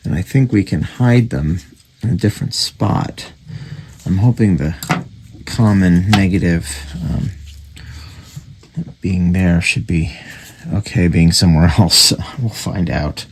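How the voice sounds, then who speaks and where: A man with a very low voice and a heavy fry talks through a hands-on repair as he works. He is casual and matter-of-fact, thinking aloud in an offhand way. Clear background noise and clicks sit behind him. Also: takes it slowly. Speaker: radio repair hobbyist and video host.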